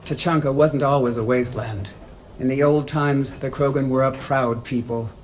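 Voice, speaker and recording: Solemn alien elder, medium pitch played by a man. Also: steady tone, moderate pace, a little hiss or hum, natural voice. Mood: solemn, reflective.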